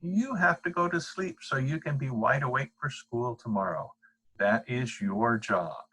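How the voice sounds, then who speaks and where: A man's voice: mid-range voice, lively intonation, unhurried, pushed and bright, slightly rough, full character voice, rough recording. A man reading the part of a firm, patient father in a bedtime storybook, explaining things matter-of-factly.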